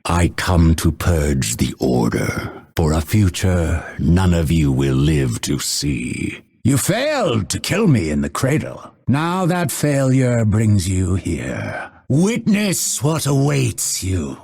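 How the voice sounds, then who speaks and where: A man voicing a vengeful exiled assassin in a video game, grim and proud, with a raspy, theatrical, ominous delivery. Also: low voice, wildly swooping pitch, slow, few pauses, darkish tone, exaggerated voice. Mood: menacing, defiant. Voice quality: gravelly, raspy. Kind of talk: dramatic video game voice lines.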